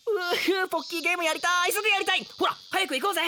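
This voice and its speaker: A man's voice: very high voice, racing, background noise. A man playing a lazy, cowardly, playful young would-be warrior in a comic radio drama, boyish, slangy and wheedling.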